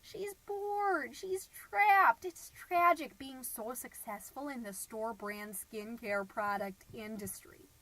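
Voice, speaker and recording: A woman's voice: on the high side, takes it slowly, few pauses, fairly bright tone, no echo, full character voice, rough recording. A woman playing a chirpy, gossipy suburban stay-at-home mom who hosts a homemade comedy podcast in a folksy, rambling, self-satisfied way. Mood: gossipy, earnest. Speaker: podcast host.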